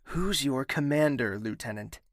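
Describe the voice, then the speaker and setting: An actor playing a young prince in an audio drama, speaking in a measured, formal way. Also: male voice, medium pitch, lively intonation, a little hiss or hum. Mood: angry, commanding. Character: young prince, tender in private, commanding with subordinates.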